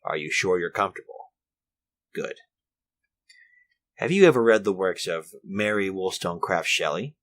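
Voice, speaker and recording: An actor playing an elderly, courteous and infirm inventor in a retro radio drama, speaking formally in a hesitant, rambling way. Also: male voice, low voice, animated, normal speed, long pauses, fairly bright tone. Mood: musing, apologetic. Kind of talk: retro 1940s radio drama acting, monologue.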